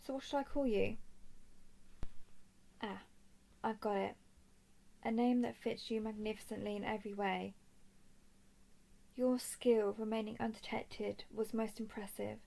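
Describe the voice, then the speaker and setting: A woman playing a young royal dragon in a roleplay audio drama, speaking formally, softly and deliberately as a composed, curious captor. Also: fairly high pitch, steady tone, vocal fry. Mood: wry, composed. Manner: formal, deliberate, soft-spoken.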